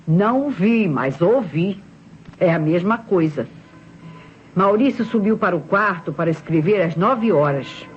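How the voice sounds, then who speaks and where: A woman's voice: fairly low pitch, animated, a little slow. A Brazilian woman playing a chatty, obliging, self-assured witness in an old radio drama, emphatic and deferential. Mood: earnest, insistent. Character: obliging, chatty female boarding-house witness, certain of herself. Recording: muffled vintage radio recording, music behind.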